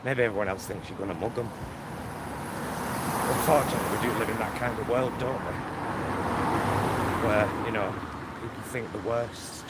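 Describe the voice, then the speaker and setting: A man's voice: lowish voice, moderate pace, long pauses. A man chatting casually to his phone on a selfie stick while walking through his neighbourhood, rambling with lots of fillers. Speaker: vlogger. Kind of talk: casual walking vlog chat. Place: outdoors on a street in his neighbourhood. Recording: phone recording with street noise. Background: traffic and street noise.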